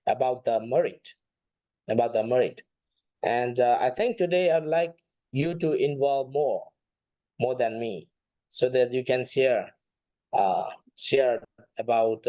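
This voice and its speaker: Guy, monk teaching class online, mellow voice, kinda hesitant and repeats himself, really dry sound. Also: medium pitch, no room sound, poor audio. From Nepal.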